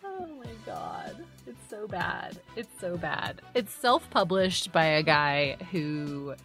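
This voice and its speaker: A woman's voice: fairly low pitch, big pitch swings, slow, fairly bright tone, soft background music. A woman co-hosting a podcast, talking casually in a loose, rambling way with trailing phrases. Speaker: podcast co-host. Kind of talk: casual conversational talk.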